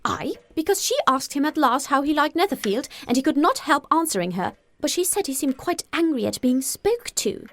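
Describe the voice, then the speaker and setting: A woman voicing a fussy, excitable middle-aged mother in an audiobook, gossipy and indignant, with emphatic exclamations. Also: high voice, normal speed, hardly any pauses, pitched above the performer's own voice. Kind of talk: audiobook character voice.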